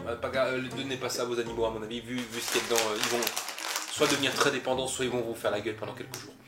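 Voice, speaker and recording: A man's voice: medium pitch, very fast, heavy background noise. Alsatian man, joking in an echoey room. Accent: Alsatian French. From Alsace, France. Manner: jokey, animated, stumbles over words. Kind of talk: casual food-tasting chat.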